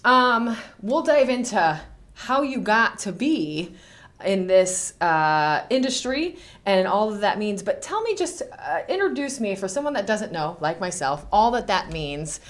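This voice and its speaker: A woman's voice: mid-range voice, big pitch swings, a little slow. A woman hosting a podcast, with a mid-range voice. She sounds upbeat and eager and asks her questions with frequent fillers. She is recorded in a studio. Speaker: podcast host. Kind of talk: interview hosting.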